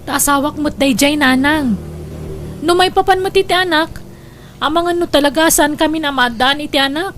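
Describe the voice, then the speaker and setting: A woman's voice: high-pitched, normal speed, fairly bright tone, slight background noise. A woman playing a discontented, worried young wife in an audio drama, with an Ilocano Filipino accent. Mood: defensive.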